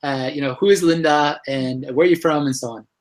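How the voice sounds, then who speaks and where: Guy hosting an interview, upbeat, slightly high voice, lots of "excellent" and "brilliant" reactions. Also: fairly quick. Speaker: host. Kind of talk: interview host.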